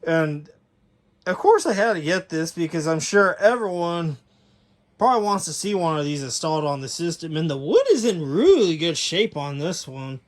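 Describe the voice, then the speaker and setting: A man's voice: a little high, animated, normal speed. A man giving a casual, unscripted overview as a hobbyist video host, rambling and correcting himself as he goes.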